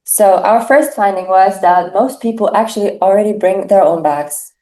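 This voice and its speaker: A teenage girl from Germany, a native German speaker, presenting survey results with frequent pauses. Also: mid-range voice, mellow, roomy sound, low-quality recording. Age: teens. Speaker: student presenter. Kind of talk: class presentation.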